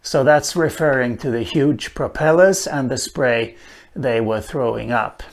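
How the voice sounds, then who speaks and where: Slow, thoughtful man with Swedish roots. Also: mid-range voice. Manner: slow and thoughtful, with many "uh" pauses. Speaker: language teacher. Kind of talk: unhurried spoken lesson on a language.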